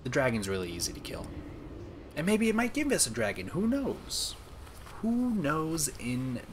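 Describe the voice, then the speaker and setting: A young man streaming a game late at night, talking softly and calmly, almost whispering so as not to wake anyone. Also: a little high, normal speed, slight background noise. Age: young adult. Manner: soft, calm, near-whispering. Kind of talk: casual gaming stream chat. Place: room at home, late at night.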